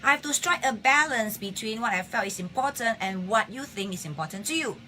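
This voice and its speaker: A woman with a husky voice hosting a casual live-streamed health talk, chatting and greeting viewers by name between points. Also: on the high side, expressive, fairly quick, sharp tone, slight background noise. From Singapore. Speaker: health talk show host. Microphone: phone or webcam on a live stream.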